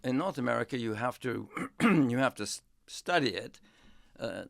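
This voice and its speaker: A man's voice: mid-range voice, lively intonation, gravelly, no room sound, clean studio sound. Older man telling stories unhurriedly. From England. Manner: unhurried, reflective storytelling with many pauses. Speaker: history professor, guest. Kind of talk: relaxed interview talk.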